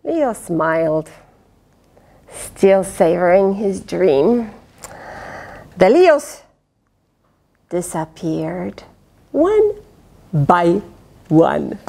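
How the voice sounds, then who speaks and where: Lady doing a kids read-aloud, super lively up and down voice, slow pace, dramatic with little asides. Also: fairly low pitch, gravelly, slight background noise. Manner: expressive, dramatic, with gasps, sighs and questions to the listener. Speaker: storyteller reading a picture book aloud. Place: indoors, small fabric tent with cushions. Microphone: lapel.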